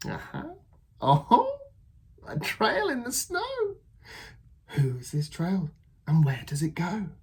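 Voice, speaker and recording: A woman voicing a small, brave, curious young female monster in a children's storybook, with a high excited voice. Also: takes it slowly, slightly rough, full character voice. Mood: eager.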